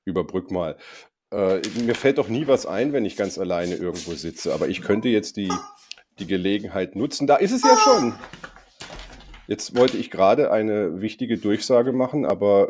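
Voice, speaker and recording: A man with a lowish voice, still a little hoarse as he gets over a cold. He chats casually as a podcast co-host, teasing his partner dryly and stalling with frequent ums and restarts. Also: animated, moderate pace, noisy background. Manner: dry, teasing, hesitant with many ums. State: slightly hoarse, recovering from a cold. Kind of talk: casual chat.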